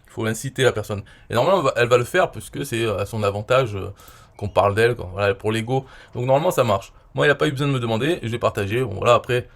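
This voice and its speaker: A man from France in his forties talking casually and at length, rambling with many fillers and often trailing off quietly. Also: medium pitch, very fast, creaky. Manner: rambling, frequent "euh" and "voilà", trailing off quietly. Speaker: online marketer reviewing his own interview. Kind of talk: casual explanatory monologue.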